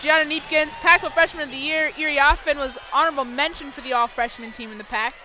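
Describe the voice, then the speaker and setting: A woman with a high voice gives color commentary at a basketball game. She analyses the play live, with a busy crowd clearly audible around her. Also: steady tone, fast talker, bright, forceful tone, soft background music. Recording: crowd and arena noise.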